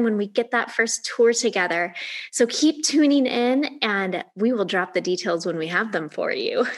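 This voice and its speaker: A middle-aged woman hosting a podcast, chatty and affirming as she interviews a guest. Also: fairly high pitch. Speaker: podcast host. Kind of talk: interview conversation.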